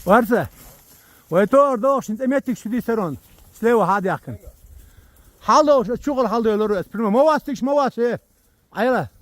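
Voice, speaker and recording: A man from Chechnya trades easy, joking remarks with his companions as they hike outdoors through a mountain gorge. His voice sits noticeably high for a man, and his talk stays relaxed and offhand throughout. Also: slow pace, pauses often. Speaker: hiker. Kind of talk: casual chat among friends on a hike.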